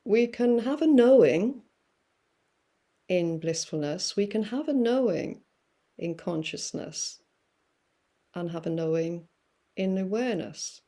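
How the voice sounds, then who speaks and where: A woman guest musing through spiritual ideas, with many fillers and pauses. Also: medium pitch, expressive, mellow. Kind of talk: reflective spiritual talk.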